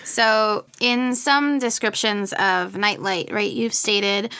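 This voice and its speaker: A woman's voice: mid-range voice, fairly even intonation, slow, bright, forceful tone, clear, resonant tone. A woman hosting a conversational podcast interview, affirming and supporting her guest as she asks questions.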